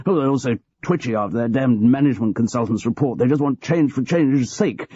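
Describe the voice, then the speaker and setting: A querulous old department head, as a male narrator puts him on, testy and full of self-pity. The voice is husky and dark, and it sits on a dull, lo-fi recording with no room sound. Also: mid-range voice, lively intonation, normal speed, pitched above the performer's own voice. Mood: petulant. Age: older.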